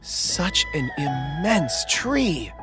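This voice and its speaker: An actor playing a mild, thoughtful young man in a video game, soft-spoken and a little hesitant. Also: on the high side, sing-song, drawn-out words, music in the background, exaggerated voice.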